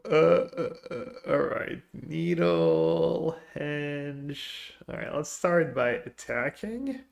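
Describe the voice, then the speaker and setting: A man's voice: fairly high pitch, drawn-out words, gravelly. A man live-streaming a card game, chatting casually with viewers and thinking his plays aloud. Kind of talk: live gameplay commentary, reading chat.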